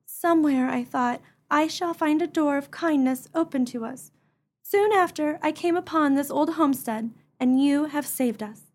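A woman's voice: high-pitched, moderate pace, a little mellow, natural voice. An actress playing a desperate, forlorn young mother in a comic radio melodrama, with earnest, melodramatic delivery. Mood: earnest, grateful.

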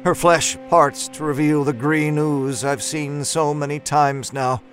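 Slow, theatrical male horror storyteller over music. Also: on the high side, steady tone. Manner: deliberate, theatrical. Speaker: storyteller reading horror fiction in first person. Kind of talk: dramatic scripted narration. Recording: narration over a music score. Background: soft musical score.

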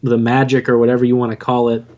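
A man's voice: mid-range voice, monotone, fast, darkish tone, highs cut off. A man chatting casually as a podcast guest, with a joking, self-deprecating manner. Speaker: podcast guest, audio drama writer and narrator. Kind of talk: casual interview chat.

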